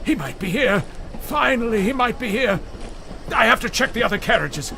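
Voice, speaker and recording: A man's voice: very high-pitched, lively intonation, normal speed, vocal fry, pushed and bright, a little hiss or hum, exaggerated voice. An actor playing an agitated, grieving middle-aged father who hunts ghosts in an audio drama, speaking insistently and repeating himself with urgency. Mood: desperate.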